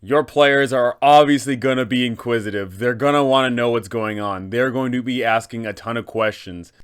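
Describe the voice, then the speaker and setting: A man's voice: mid-range voice, moderate pace, clear, resonant tone. A man hosting a tabletop game guide, narrating advice for game masters in a casual, emphatic way. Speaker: host, game master advising other game masters.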